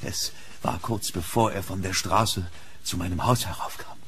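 A man playing a fearful, hunted gentleman in a German horror radio play, speaking with dramatic urgency. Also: deep voice, moderate pace, a little hiss or hum. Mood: grim. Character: hunted, fearful English gentleman haunted by a demon.

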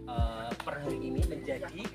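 A middle-aged man with a Javanese accent, a fellow YouTuber talking lively to viewers outdoors. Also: medium pitch, moderate pace. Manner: lively, addresses viewers as pemirsa. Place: outdoors in a village yard. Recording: distant voice with loud music.

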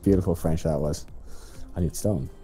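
Playful low-voiced gaming host. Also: male voice, moderate pace, dark tone, breathy edge, soft background music. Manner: playful, giggly, squeals for fun. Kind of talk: casual co-op gameplay commentary.

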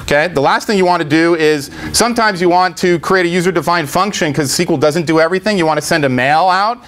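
A middle-aged man giving a live software demo in an informal, step-by-step explanatory way. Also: on the high side, fast. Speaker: tech presenter. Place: indoor meetup presentation room.

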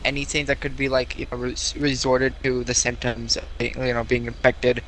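A man playing a shaken apocalypse survivor who speaks haltingly and stumbles over words in a game roleplay. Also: mid-range voice, completely flat delivery, a bit bright, faint music behind, lightly acted, low-quality recording. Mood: shaken, weary. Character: shaken male survivor recounting military killings. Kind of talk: improvised game roleplay drama.

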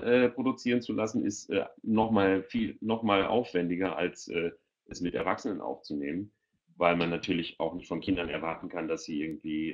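A middle-aged man giving explanatory answers as a panelist in an online Q&A, speaking through a headset. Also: low-pitched. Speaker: audio producer for children, panelist. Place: indoors at home, video call. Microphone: headset on a video call. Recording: dull, lo-fi video call audio.

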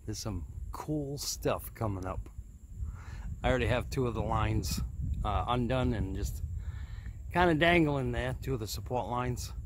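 A man's voice: medium pitch, lively intonation, a little slow. Man narrating a hands-on project, noisy recording. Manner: plain-spoken, explains step by step. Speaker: DIY hobbyist video host.

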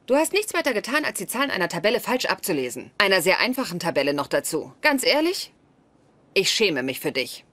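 A woman's voice: mid-range voice, big pitch swings, a little fast, a bit bright, no echo. An actress playing a stern, brutally frank young woman in a German-dubbed sitcom. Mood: cutting.

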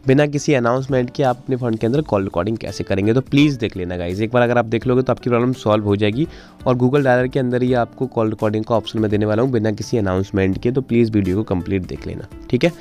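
A man's voice: medium pitch, quick pace, a little mellow. A man presenting a casual tech tutorial, informally addressing viewers in a repetitive, pleading way. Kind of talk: casual screen-recorded phone tutorial. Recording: music playing behind the voice. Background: instrumental background music.

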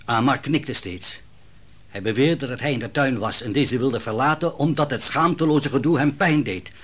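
A man playing a husky worried ally. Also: mid-range voice, normal speed, a bit bright, natural voice. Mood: brooding. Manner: brooding, reporting.

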